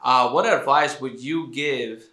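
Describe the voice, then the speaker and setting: A man's voice: mid-range voice, measured pace, pushed and bright, reverberant. A man interviewing a guest, friendly and affirming, often answering with "right" and "mm-hmm". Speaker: interviewer, college counselor. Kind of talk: conversational interview.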